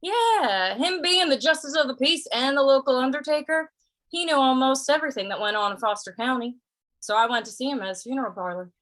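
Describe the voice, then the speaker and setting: A woman's voice: high-pitched, lively intonation, fairly quick, a bit bright. A woman playing an amiable, curious cowboy in an old-time western radio drama, with a mild, hesitant, folksy way of talking. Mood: musing.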